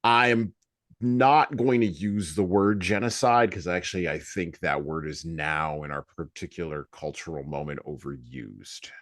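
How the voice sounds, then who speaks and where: A man with an extremely low voice hosts a book discussion podcast. He speaks deliberately and haltingly, leaning on key words. The sound is dry, with little room in it. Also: no room sound. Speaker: podcast host.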